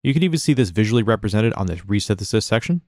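A man narrating a casual step-by-step software tutorial in an informal, explanatory way. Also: on the low side, lively intonation, rapid-fire, no room sound, clean studio sound.

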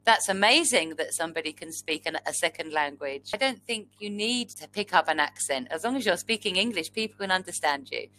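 A woman's voice: on the low side, animated, a little fast, few pauses, bright, forceful tone, slight background noise, rough recording. A woman from the United Kingdom, an online English tutor, answering in a thoughtful, hesitant way.

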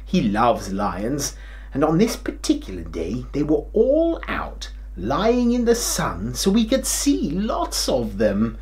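A kindly, forgetful old king of a grandad, played by a middle-aged man, tells a story for small children. He takes his time, with a lively rise and fall in his expressive voice. His delivery is jolly and theatrical. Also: mid-range voice, takes it slowly. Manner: theatrical, expressive, with hammed-up hesitations and chuckles.

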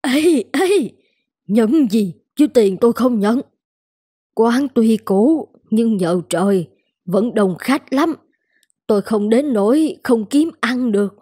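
A woman voicing a kindly, humble elderly shop owner in a cartoon, gently protesting. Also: on the high side, animated, slow pace, husky, no room sound, clean studio sound, exaggerated voice. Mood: modest, firm. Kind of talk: cartoon dubbing.

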